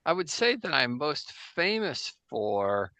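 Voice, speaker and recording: A man playing a 17th-century Jesuit astronomer priest in a casual improvised interview over a video call, halting and full of restarts. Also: a little high, very animated, creaky, pushed and bright, dry recording, full character voice. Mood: wry. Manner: halting, full of restarts and fillers, joking anachronisms. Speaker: guest in role-play.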